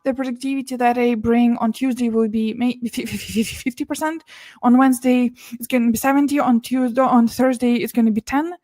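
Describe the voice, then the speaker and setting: Woman, from Russia, English not her first language, slightly high voice, earnest, stumbling restarts, soft laughs, dry close sound. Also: steady tone, moderate pace, dry recording. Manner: earnest, many restarts and laughs between words. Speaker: podcast guest, business and mindset mentor. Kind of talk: casual interview conversation.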